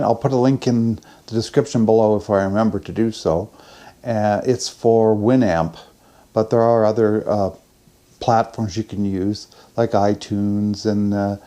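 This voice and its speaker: A man's voice: on the low side, fairly even intonation, moderate pace, warm tone. An older man chatting casually in a daily vlog, with a folksy, rambling, self-deprecating way of talking. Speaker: vlogger.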